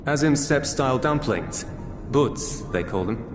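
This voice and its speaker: A man's voice: mid-range voice, animated, unhurried, natural voice. An actor playing a reserved, kindly soldierly man in a video game cutscene, speaking in a hesitant, earnest, conversational way. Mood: friendly. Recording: roomy echo with music underneath.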